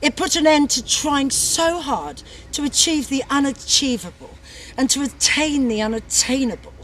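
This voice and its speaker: A woman's voice: sits high, normal speed, a bit bright. A woman narrating comically in a haughty, dramatic way. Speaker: author reading a book aloud in character.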